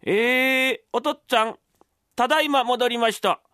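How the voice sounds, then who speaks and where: A man's voice: extremely high pitch, normal speed, sharp tone, dry recording, clean studio sound, voice pushed up. A man performing rakugo as a nervous impostor imitating a dutiful young master, formal, ingratiating and hesitant. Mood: dutiful, bright. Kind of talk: rakugo storytelling.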